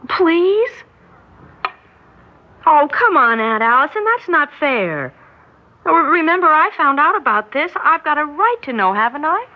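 An actress playing an eager, chatty college-age niece in a 1960s radio drama, girlish, excitable and confiding. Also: sits high, a little slow, pauses often. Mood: playful, conspiratorial. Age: young adult. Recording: muffled, noisy 1960s radio recording.

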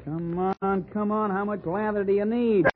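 A man's voice: high-pitched, fairly even intonation, normal speed, natural voice. Brusque sneering cop, acted by a man, muffled radio. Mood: bullying. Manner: brusque, needling, sarcastic. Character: hard, sneering police sergeant bullying a suspect. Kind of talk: 1950s radio drama acting. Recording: muffled, faintly noisy old radio recording.

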